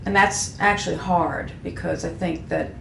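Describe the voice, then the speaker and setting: A woman's voice: lowish voice, fairly even intonation, moderate pace, gravelly. A woman in her fifties, a graphic designer, speaking frankly and self-deprecatingly in an interview, with many fillers and restarts. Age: 50s. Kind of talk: candid reflective interview talk. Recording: echoey room with faint background hum.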